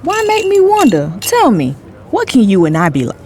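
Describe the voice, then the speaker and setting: A woman's voice: a little high, wildly swooping pitch, moderate pace, a little mellow. A woman playing a guarded socialite in an audio drama, coy and challenging in casual dialogue. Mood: coy, probing. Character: guarded, coy socialite testing her secret admirer.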